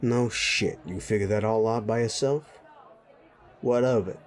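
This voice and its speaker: A man's voice: lowish voice, measured pace, a faint crowd, natural voice. A man playing a sleazy, bullying, foul-mouthed werewolf boss in a roleplay audio drama, blunt and needling. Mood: hostile, mocking. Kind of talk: immersive roleplay audio drama addressed to listener.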